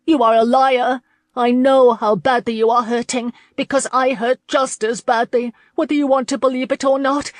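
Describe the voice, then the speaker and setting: A woman's voice: a little high, fairly even intonation, brisk, no room sound, studio quality, close to an everyday voice. Lauren, a woman voicing an impassioned young lover. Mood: defiant. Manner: impassioned, pleading, tearful outbursts. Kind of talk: audiobook character voice.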